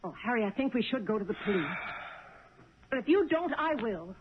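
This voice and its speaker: An actress playing an honest, worried, firm wife in a 1970s radio drama, scolding and earnest. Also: female voice, fairly high pitch, very animated, brisk. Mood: worried, insistent. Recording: noisy narrow-band old radio broadcast.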